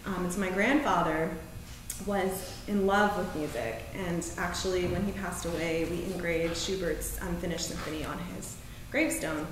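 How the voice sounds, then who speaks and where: A very reverberant theater recording of a woman poet at a live reading, picked up from a distance with faint noise. Her voice sits at a middle pitch for a woman. She presents her writing in measured, deliberate phrases. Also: fairly even intonation. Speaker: poet reading own work at a live event. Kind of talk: poetry and prose reading with short spoken introductions. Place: theater stage, indoors. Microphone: distant camera microphone.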